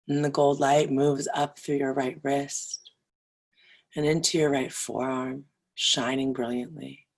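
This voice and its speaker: Slow, low, husky woman guiding meditation. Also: level tone. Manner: soothing, deliberate, with long pauses. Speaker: yoga nidra meditation guide. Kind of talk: guided meditation.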